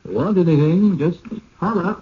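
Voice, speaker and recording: An actor playing a plain-spoken household servant and cook in a radio drama, casual, brisk and folksy. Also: male voice, sits high, breathy edge, exaggerated voice. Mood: obliging. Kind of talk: 1980s radio drama acting. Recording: tinny band-limited old radio broadcast.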